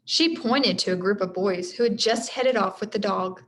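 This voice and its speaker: A woman reading a children's storybook aloud like a teacher, expressive, speaking directly to her listeners. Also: mid-range voice, normal speed. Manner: expressive, addresses listeners directly, stumbles over hard names. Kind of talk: read-aloud storybook narration with friendly asides.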